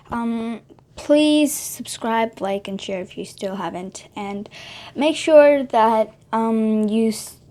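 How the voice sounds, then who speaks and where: A woman with a high voice reads a Bible story aloud for children. She reads gently and sometimes stumbles and restarts a word. Her tone suits a children's story time.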